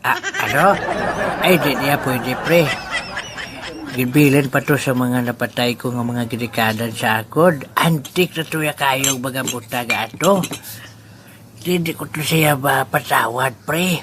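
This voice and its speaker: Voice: mid-range voice, background noise, lightly acted. A performer doing a grumbling old man who has been robbed. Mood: tipsy, grumbling. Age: over 60. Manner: complaining and mournful, quick to anger. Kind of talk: amateur radio-play acting.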